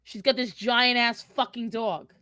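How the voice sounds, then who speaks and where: A sardonic twenty-two-year-old woman, as a voice actress plays her in a live in-character Q&A. Her voice is bright and sits a little high, recorded dry and close. She is blunt and foul-mouthed, with open scorn and sarcasm. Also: measured pace, no echo. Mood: brash, sardonic. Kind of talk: improvised in-character question and answer.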